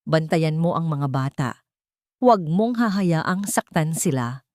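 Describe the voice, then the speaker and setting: A woman plays a determined billionaire man in an audiobook drama. He is commanding and urgent, with widely swinging pitch in a dry recording. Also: lively intonation, measured pace, no echo. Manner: forceful, urgent.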